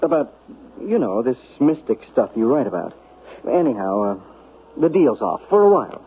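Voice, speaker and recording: A stammering, evasive friend. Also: male voice, medium pitch, sing-song, normal speed, breathy edge. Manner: hesitant, stammering, laughs it off. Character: evasive, nervous male friend and agent. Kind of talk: 1970s radio drama acting. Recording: tinny old radio broadcast with faint hiss.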